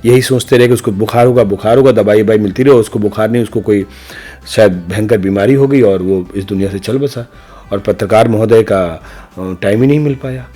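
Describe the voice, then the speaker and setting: A man's voice: on the low side, fairly quick, warm tone, faint music behind. A middle-aged man giving reflective, opinionated answers as a podcast guest, speaking emphatically in rhetorical, repeated phrases. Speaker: interview guest, senior news journalist.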